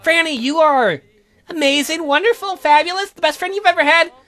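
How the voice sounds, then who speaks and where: A woman's voice: extremely high pitch, brisk, fairly bright tone, voice pushed up. A woman voicing a bossy, teasing young female best friend in a visual novel, theatrical and gushing. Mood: playful, smug. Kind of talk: visual novel read aloud in character.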